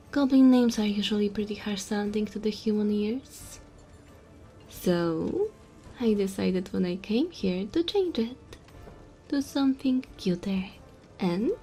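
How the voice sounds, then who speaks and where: A woman's voice: medium pitch, mellow. A woman playing a small, cheeky goblin bartender in a fantasy audio roleplay, teasing and chatty with the customer. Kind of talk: fantasy audio roleplay, addressing the listener softly.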